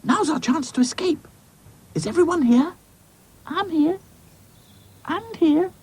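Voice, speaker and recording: Storybook doll, small timid girl, plaintive, wailing, tiny high voice. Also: animated, takes it slowly, darkish tone, full character voice. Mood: calling.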